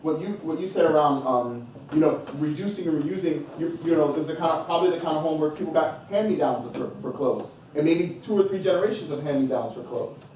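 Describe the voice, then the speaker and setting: A man's voice: on the high side, fast talker, few pauses, a little mellow. A man moderating a panel discussion, emphatic and encouraging as he draws out each speaker. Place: event hall with audience. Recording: echoey hall from far off, noisy.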